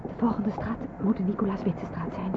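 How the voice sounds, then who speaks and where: An actress playing an anxious young nurse in a wartime radio play, hesitant and murmuring to herself. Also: female voice, mid-range voice, steady tone, brisk, natural voice. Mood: tense, musing. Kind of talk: Dutch radio play acting. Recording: noisy narrow-band old radio play recording.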